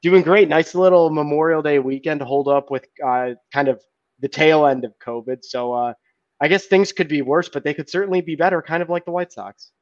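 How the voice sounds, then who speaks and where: A man recaps games in a rambling way, full of fillers, his sentences often fading off quietly. The recording sounds dry and a bit dull. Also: a little high, fairly even intonation, brisk, no room sound. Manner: rambling with many "uh"s, trailing off quietly. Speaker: podcast co-host. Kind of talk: casual sports recap.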